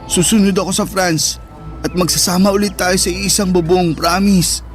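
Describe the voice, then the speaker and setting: A man's voice: high voice, fairly even intonation, moderate pace, natural voice. A man acting a hurt, affectionate teenage older brother in a Filipino radio drama, with an earnest, emotional delivery and a Filipino accent. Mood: tender, reassuring. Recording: music behind the voice.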